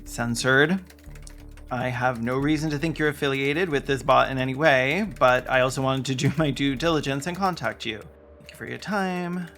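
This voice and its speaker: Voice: medium pitch, normal speed, fairly bright tone, faint music behind. A sarcastic, indignant video essayist who swears while delivering scripted investigative narration.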